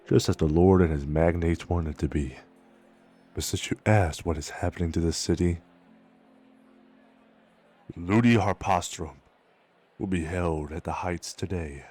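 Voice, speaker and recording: A man playing a wary, world-weary apple merchant in a manga dub, with measured, old-fashioned phrasing. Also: sits low, warm tone, husky. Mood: wry, resigned. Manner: measured, archaic storybook phrasing.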